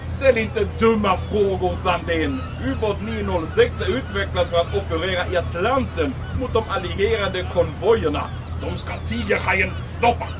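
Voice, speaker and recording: Comic audio drama: male actor as pompous German-accented commandant, high-pitched and theatrical, over a clear music bed. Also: few pauses, lightly acted. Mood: smug, matter-of-fact. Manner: grandiose, exclaiming.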